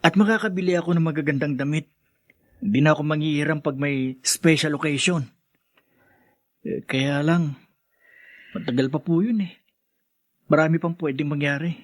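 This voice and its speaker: A man plays a struggling teenage boy with a fairly high voice, recorded close and dry. He is earnest, respectful and a little hesitant, with a Filipino accent, in the style of radio drama. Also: normal speed, long pauses, no echo, lightly acted. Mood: respectful, wistful.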